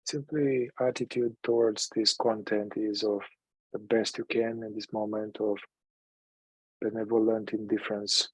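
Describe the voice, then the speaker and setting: A man leading a guided meditation in a calm, unhurried manner, leaving long pauses between phrases. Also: on the low side, husky, dry recording, low-quality recording.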